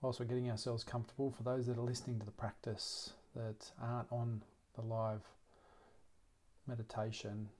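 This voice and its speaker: A man guides a meditation in a middle-pitched voice that stays flat and steady. His delivery is gentle and unhurried. He leaves long pauses between short phrases, as a teacher leading a practice.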